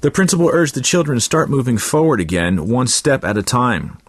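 A man's voice: medium pitch, very animated, moderate pace, no echo. Male reader for scripted radio news bulletin; middle register, very dry sound.

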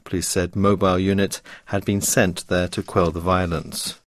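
A man's voice: deep voice, flat delivery, normal speed, dry recording, clean studio sound. A man reading a scripted news bulletin on the radio. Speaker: radio newsreader.

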